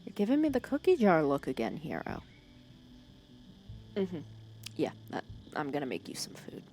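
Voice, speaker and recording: A woman's voice: low-pitched, wildly swooping pitch, normal speed, soft background music, exaggerated voice. A woman playing a wry, bossy but caring female villain who fusses over her hero nemesis in an intimate ASMR roleplay. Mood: teasing, fussing. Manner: intimate, wry, fussing and bossy with soft teasing. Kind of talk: ASMR roleplay acting, one side of a conversation. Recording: clear cricket and insect ambience behind. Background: crickets and outdoor night insects.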